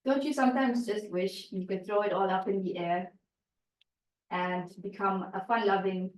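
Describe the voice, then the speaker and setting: A woman's voice: mid-range voice, fairly even intonation, normal speed. A woman from Africa, giving a prepared speech at a club meeting and telling her story while asking the audience questions. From South Africa. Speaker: club speaker. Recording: echoey room, distant mic.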